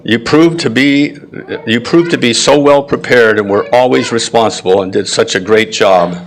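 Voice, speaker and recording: A man giving a prepared school ceremony address with personal anecdotes, speaking in a deliberate, proud and encouraging way. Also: mid-range voice, a bit bright, a little hiss or hum. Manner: frequent throat clearing.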